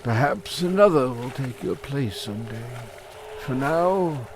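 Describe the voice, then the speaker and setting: An actor playing a solemn, kindly ferryman spirit of the underworld in an audio drama, grave and measured. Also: mid-range voice, lively intonation, slight background noise.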